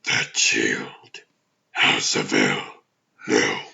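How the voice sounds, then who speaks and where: An ancient demon overlord from a comic dub, extremely low and heavily gravelled. He speaks with grand, formal menace, very slowly and deliberately, as an imperious ruler would. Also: completely flat delivery, bright, forceful tone, in a whisper, muffled recording, heavily acted. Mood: brooding, disdainful. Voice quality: gravelly, growling. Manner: pompous, deliberate, formal archaic phrasing. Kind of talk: theatrical villain acting in a comic dub.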